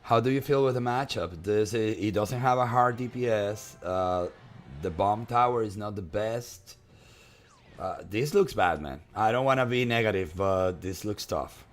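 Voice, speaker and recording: A man with a strong Japanese accent casting an esports match in a chatty, emphatic, excitable way. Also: lowish voice, unhurried. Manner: animated, chatty, emphatic repetition. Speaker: esports caster. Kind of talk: excitable live game commentary and banter.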